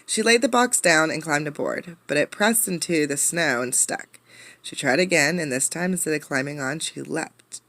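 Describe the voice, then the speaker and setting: Woman, low-pitched voice, storybook read-aloud, dramatic emphasis, teacherly, close dry recording. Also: normal speed, fairly bright tone, dry recording. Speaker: teacher reading a picture book aloud. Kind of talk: expressive storybook read-aloud with questions to listeners.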